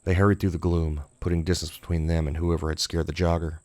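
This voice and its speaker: A man's voice: sits low, level tone, fast talker, darkish tone, dry recording, clean studio sound. A man reading scripted horror fiction as an audiobook narrator.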